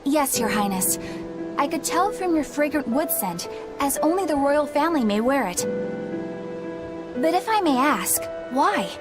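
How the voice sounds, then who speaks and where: A young female exorcist in a game cutscene. Her voice is very high, dutiful and courteous, speaking with earnest respect over clear background music. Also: very animated, a little slow, long pauses. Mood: respectful, flustered. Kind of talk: animated game cutscene acting.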